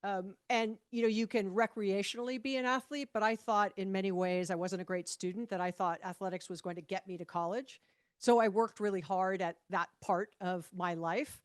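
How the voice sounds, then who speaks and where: Woman in her 50s from hawaii, podcast guest, chatty storyteller, mid pitch, lots of restarts. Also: moderate pace. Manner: animated storyteller with frequent restarts and laughs. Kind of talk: conversational podcast interview.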